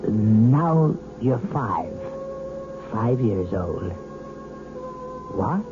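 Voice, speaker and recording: An actor playing a calm male hypnotist who talks in a soft, measured, persuasive way, in a 1970s radio drama. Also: fairly low pitch, full character voice. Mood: coaxing. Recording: tinny old radio broadcast with music.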